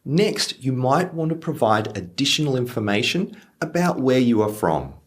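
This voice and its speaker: A young man teaching English phrases to learners, slowing down on example lines. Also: medium pitch. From Australia. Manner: lively, stresses example phrases slowly. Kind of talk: clear teaching explanation for learners.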